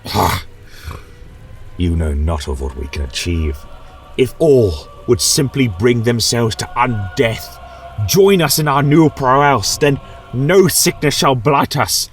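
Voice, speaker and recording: A man's voice: medium pitch, expressive, slow, soft background music, voice pushed up. A man voicing a proud, vengeful, bestial vampire in a grandiose, declamatory manner for an audio fantasy tale. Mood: defiant zeal.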